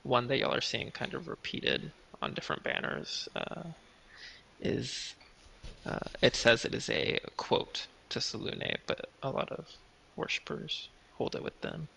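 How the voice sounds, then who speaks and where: A man narrating a tabletop game as game master, halting and trailing off, with a gravelly, husky voice. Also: medium pitch, huge pitch swings, pushed and bright, rough recording. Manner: halting, with trailing, unfinished phrases.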